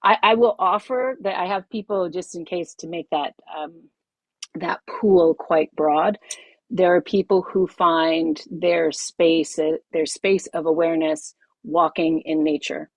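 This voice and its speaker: A middle-aged woman, a yoga teacher, leading a group masterclass over a video call, emphatic and expressive, repeating her key phrases. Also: fairly low pitch, unhurried, gravelly, no echo, poor audio.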